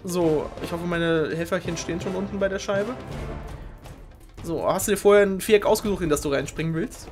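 A young man hosting a game show, giving informal, excitable live commentary on a video game race. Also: sits high, fairly quick. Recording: music behind the voice.

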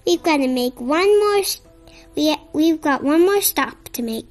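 Child reader, very high-pitched, unhurried, expressive picture-book delivery, music in the background. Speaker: storybook reader.

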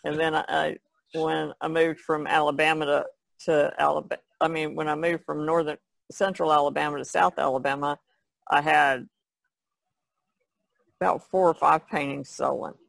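Lady on an art podcast just chatting, lots of vocal fry, no room sound. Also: low-pitched, flat delivery, moderate pace, no echo. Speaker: podcast panelist and artist. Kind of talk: casual chat.

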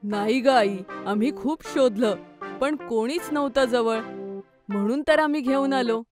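A woman's voice: a little high, a little slow, music in the background, natural voice. Eager little cartoon boy, played by a woman. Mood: earnest. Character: eager, curious young boy.

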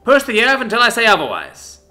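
A man's voice: high-pitched, lively intonation, quick pace, sharp tone, soft background music, voice pushed up, full character voice. A man voicing a strict male Air Force drill sergeant who barks orders in a clipped, commanding way.

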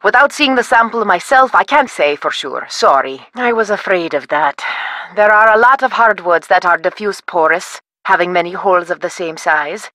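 A bright, brisk wood scientist. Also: female voice, fairly low pitch, expressive, no room sound. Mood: helpful. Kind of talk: video game voice acting.

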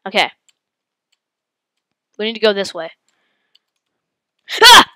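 Congested, excitable woman gamer. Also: medium pitch, takes it slowly, no room sound, studio quality. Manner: excitable, yelps and exclaims at the game. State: congested with a head cold. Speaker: gaming channel host. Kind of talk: live gameplay commentary.

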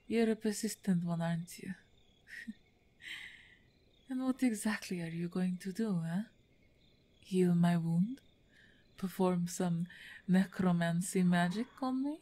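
An actress voices a strong half-orc woman, wounded and weary. She speaks very slowly in a mellow, breathy voice that often sinks to a whisper. She is halting and weak, wary but wry, as in an ASMR audio drama. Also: on the low side. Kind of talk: ASMR roleplay acting.

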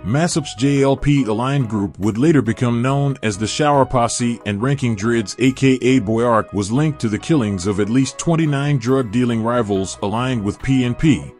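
Man, documentary narrator, measured steady read, mid-pitched voice, faint music underneath.

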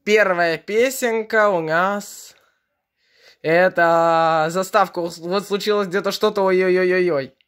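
A woman's voice: lowish voice, steady tone. A young woman in a room at home, chatting casually into a phone camera and introducing songs one after another. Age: young adult. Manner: unhurried, chatty, introducing each song. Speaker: video blogger. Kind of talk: casual chat. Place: room at home, beside a television.

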